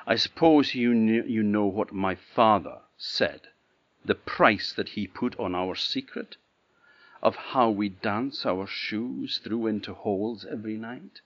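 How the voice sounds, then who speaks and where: A man's voice: fairly high pitch, big pitch swings, unhurried, few pauses, a little mellow, husky, lo-fi sound, voice pushed up, exaggerated voice. A man voicing a haughty young princess in a told fairy tale.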